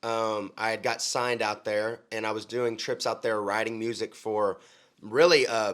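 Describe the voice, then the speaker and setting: A man's voice: fairly low pitch, a bit bright. A young man from Oklahoma, a country singer, talking earnestly in a casual interview with frequent ums and restarts. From Oklahoma, USA.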